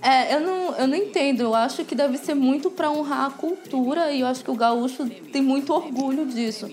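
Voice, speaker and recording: A woman's voice: high voice, a little fast, darkish tone. A woman from Pará in casual group chat, opinionated and teasing, often laughing. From Pará, Brazil.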